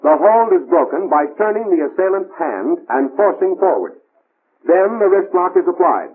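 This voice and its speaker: A man's voice: high voice, big pitch swings, normal speed, slight background noise. A man narrating a 1940s military training film in scripted, clipped, imperative instructions. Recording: tinny band-limited 1940s film soundtrack.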